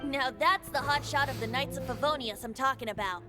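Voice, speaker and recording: Woman voicing playful youthful bard in an anime game, highish teasing voice, music behind. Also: fairly quick, natural voice.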